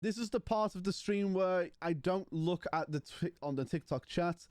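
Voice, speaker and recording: A man's voice: high voice, normal speed, a little mellow, dry recording, clean studio sound. A man, casually chatting and bantering with his livestream chat. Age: 20s. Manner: banters with chat, mocking and playful. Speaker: streamer.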